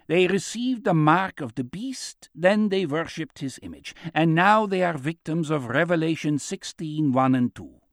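A man's voice: on the high side, animated, unhurried, few pauses, no room sound, studio quality. A man voicing a shrewd older man who talks in a wry, knowing, teasing way. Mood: grave, knowing.

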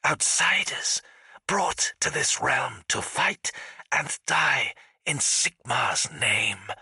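A man voicing an eerie, coldly coaxing spirit of death in an audiobook, in a breathy, insinuating whisper. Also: mid-range voice, very animated, drawn-out words, pushed and bright, dry recording, studio quality, pitched above the performer's own voice, full character voice. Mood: sinister, soothing. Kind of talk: dramatic audiobook character voice.

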